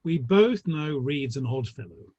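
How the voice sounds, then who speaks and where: A man acting a tactful, polite Victorian gentleman of the theatre in a play reading, slightly hesitant. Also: mid-range voice, lively intonation, unhurried, slightly rough, no room sound. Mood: tactful, placating. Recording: dull, lo-fi online audio.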